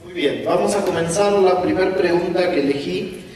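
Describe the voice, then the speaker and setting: A man moderating a conference panel in a relaxed, playful way. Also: high-pitched, moderate pace, distant mic, a little hiss or hum. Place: large conference hall. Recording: echoey hall, voice from afar.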